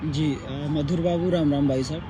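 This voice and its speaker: Hoarse male live host, high pitched, halting, faint street noise behind. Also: steady tone. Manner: clears throat often, halting with fillers. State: hoarse, sore throat. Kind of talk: casual live update. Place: sitting outdoors near a court.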